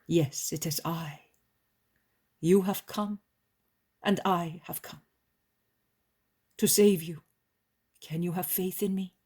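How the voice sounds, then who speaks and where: Woman reading as a calm holy man, solemn and commanding, slow storybook pace. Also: on the low side, breathy edge. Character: calm authoritative male holy healer.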